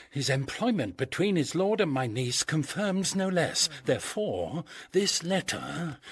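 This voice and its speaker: Boisterous husky knight, shakespeare radio comedy, slow n savouring every pompous word, pitch all over. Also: male voice, fairly bright tone, full character voice. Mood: gleeful scheming. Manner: pompous, theatrical, savouring grand words. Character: boisterous, scheming knight and uncle, relishing mischief.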